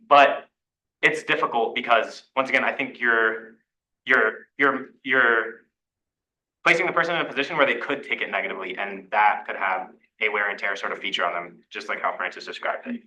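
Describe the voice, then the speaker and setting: A man's voice: mid-range voice, fairly quick, sharp tone, reverberant, poor audio. A young man leading a student meeting discussion, casual and full of fillers and restarts. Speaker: student senate discussion leader. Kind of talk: facilitating a group discussion.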